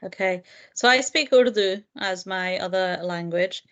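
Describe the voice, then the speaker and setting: A woman's voice: medium pitch, a little slow, clear, resonant tone. Earnest, thoughtful woman speaking as a guest. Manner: thoughtful, earnest, with frequent fillers and soft laughs. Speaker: guest, primary teacher and lecturer. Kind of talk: reflective interview conversation.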